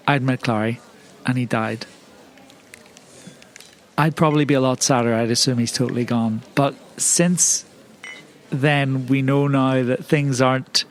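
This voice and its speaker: A man's voice: mid-range voice, lightly acted. A man playing an earnest, naive investigator in an improvised audio roleplay, talking conversationally.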